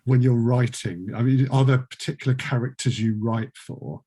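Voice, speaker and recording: A man's voice: mid-range voice, steady tone, normal speed, dark tone, slightly rough. A man hosting a casual interview, informal and jokey in the way he talks. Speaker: host and producer.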